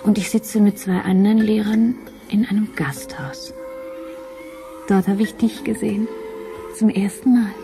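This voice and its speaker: An actress playing a dreamy, devoted woman time traveller in an intimate radio drama, hushed and breathy. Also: medium pitch, unhurried, pauses often, soft background music, natural voice.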